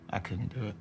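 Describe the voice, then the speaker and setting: An actor playing a worn-out young male soldier who talks low and quiet, often close to a whisper, in a video game cutscene. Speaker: game character. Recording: game audio with music score.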